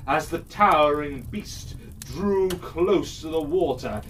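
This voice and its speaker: A male storyteller narrates an old fairytale for children with theatrical suspense. He speaks extremely slowly, letting phrases hang. The voice sits at a distance from the microphone, with faint noise behind it. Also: on the high side, a bit bright. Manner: theatrical, drawn-out delivery with suspenseful pauses and big swells.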